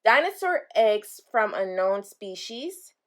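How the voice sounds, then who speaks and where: A woman reading a children's picture book aloud, pausing to explain things simply to young listeners. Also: mid-range voice, big pitch swings. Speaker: read-aloud storyteller for children.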